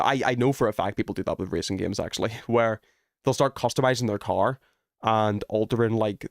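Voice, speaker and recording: Male game streamer, lower register, dry studio-close sound; loose, rambling, self-deprecating delivery with casual swearing. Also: vocal fry, no echo. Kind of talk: casual chat while streaming gameplay.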